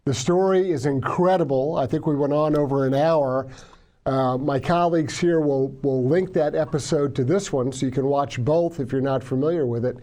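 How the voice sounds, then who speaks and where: A man hosts an interview in a fairly high voice. His tone is dark and relaxed. He talks earnestly and restarts often on his first words. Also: moderate pace. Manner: earnest, repeats words when starting sentences. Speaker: interview host. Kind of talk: political interview.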